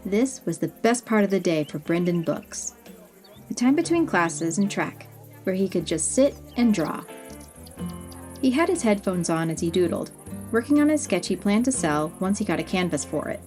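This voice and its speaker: A woman narrating a fantasy audio story in an expressive, even-paced reading, over soft music. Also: medium pitch.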